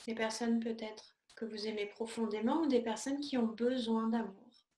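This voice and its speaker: A woman teaching yoga, guiding a meditation in a calm, soothing, unhurried way with pauses between short phrases. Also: a little high. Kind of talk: guided yoga and meditation instruction. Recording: echoey room sound.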